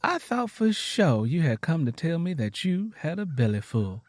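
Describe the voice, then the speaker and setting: Man doing a blunt southern country wife, twenties, wary and teasing, raised pitch, up and down a lot. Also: big pitch swings, normal speed, no room sound. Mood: teasing, suspicious. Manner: blunt, probing, folksy dialect.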